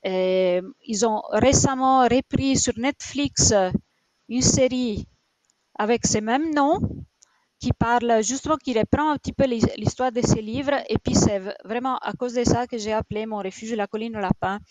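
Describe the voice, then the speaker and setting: A woman's voice: a little high, moderate pace, darkish tone, dry recording, low-quality recording. A woman in her forties from Italy, speaking French with an Italian accent as a shelter founder in an interview, hesitant and full of fillers. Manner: hesitant, many fillers and restarts. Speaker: rabbit shelter founder, guest. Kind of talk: interview answers.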